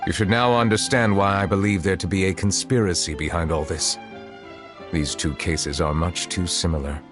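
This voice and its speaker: Man voicing a dragon judge, low voice, formal and measured, grave tone, music behind. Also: fairly even intonation, close to an everyday voice. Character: ancient dragon in human form, a solemn chief judge. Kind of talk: video game voice acting. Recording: game audio with music behind.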